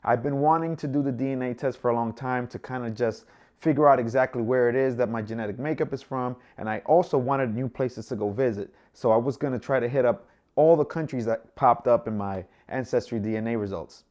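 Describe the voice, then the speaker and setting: A man's voice: medium pitch, a little fast. Chatty young man from the Dominican Republic. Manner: relaxed and chatty, with many fillers. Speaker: travel vlogger. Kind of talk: casual vlog monologue.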